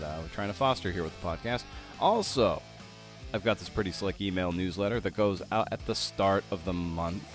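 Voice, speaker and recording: A man hosting a podcast in an informal, conversational way, often rephrasing as he goes. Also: deep voice. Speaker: podcast host. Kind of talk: conversational interview and podcast narration.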